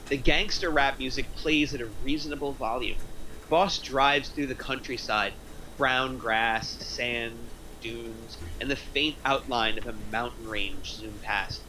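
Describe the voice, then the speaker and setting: A man's voice: medium pitch, background noise. A man narrating stage directions from a script at a table reading.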